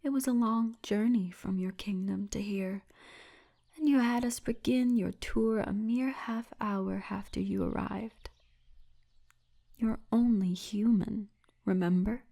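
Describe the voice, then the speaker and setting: A woman's voice: medium pitch, fairly even intonation, takes it slowly, gravelly, warm tone. A woman playing a poised, seductive orc tour guide in an ASMR fantasy roleplay, teasing and often half-whispering. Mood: caring.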